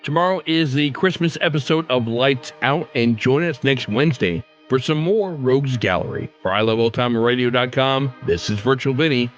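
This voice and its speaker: A man's voice: mid-range voice, normal speed, few pauses, lo-fi sound, faint music behind. A man hosting a podcast, reading scripted commentary and narration. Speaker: podcast host.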